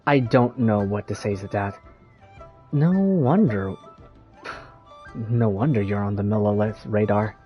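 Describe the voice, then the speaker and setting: A man's voice: on the low side, unhurried, pauses often, muffled recording, close to an everyday voice. A man playing a young traveler in a video game, speaking in a dry, puzzled way. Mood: dry disbelief. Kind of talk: video game character voice acting. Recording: music under the voice.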